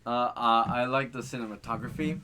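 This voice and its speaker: Hesitant mid-pitched man chatting. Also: sharp tone, slight background noise. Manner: hesitant, halting, repeats words. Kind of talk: casual film chat.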